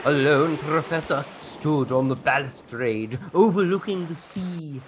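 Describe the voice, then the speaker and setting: A man's voice: on the high side, unhurried, very noisy. A man hosting a podcast with playful, theatrical banter and storytelling. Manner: animated, theatrical. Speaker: podcast host.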